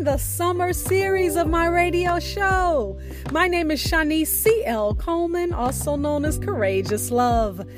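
Female radio host, high pitch, scripted intro, theatrical emphasis, music underneath. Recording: voice over music bed.

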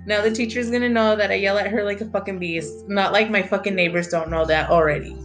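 A woman in her thirties hosting a podcast in a casual monologue, talking fast and excitedly, stretching her words, swearing often and dropping Spanish phrases into her talk. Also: medium pitch, over music. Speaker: podcast host.

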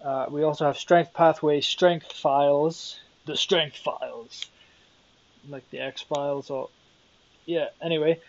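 A man's voice: fairly high pitch, fairly even intonation, takes it slowly, dry recording, dull audio. A young Welsh man hosting a solo podcast, thinking aloud and rambling with self-deprecating asides. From Wales. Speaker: podcast host.

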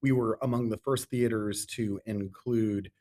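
A middle-aged man answering interview questions as a guest, measured and given to emphatic repetition. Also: on the low side, steady tone, mellow. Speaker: interview guest, theater artistic director.

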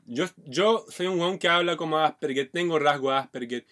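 A man's voice: a little high, moderate pace, a bit bright. A man from Brazil with a Brazilian accent, co-hosting a podcast in casual chat with a clipped, choppy way of talking. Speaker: podcast co-host, comedian.

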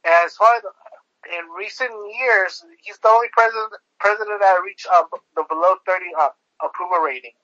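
Man, high-pitched co-host, halting delivery with stacked fillers, dry lo-fi sound. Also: sharp tone, dry recording. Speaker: podcast co-host. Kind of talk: casual political chat.

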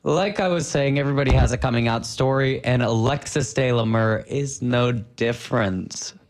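A young man hosting a casual radio chat show from a studio, teasing and joking with his co-hosts. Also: medium pitch. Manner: playful, teasing, quick jokes.

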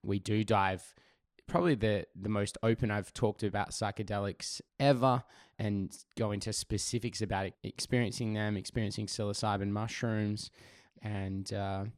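Podcast host guy, lowish voice a bit rough from laryngitis, chatty with lots of ums, dry close mic. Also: fairly even intonation, normal speed, no room sound. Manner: chatty, filler-heavy, enthusiastic. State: recovering from laryngitis. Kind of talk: casual podcast intro and interview.

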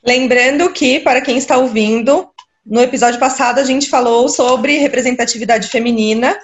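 A woman's voice: sits high, normal speed. A woman co-hosting a podcast, speaking directly to the listeners. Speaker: podcast co-host.

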